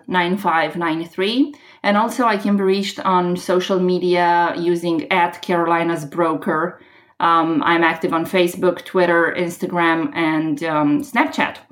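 A woman with a Romanian accent, a realtor guest talking casually on a podcast, often pausing with ums and restarting. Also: lowish voice. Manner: hesitant, many ums and restarts.

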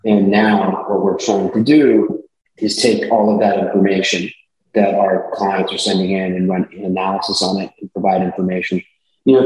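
Deep-voiced man in an echoey room. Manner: explanatory, businesslike, relaxed. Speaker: company executive, guest. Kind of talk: casual interview answers.

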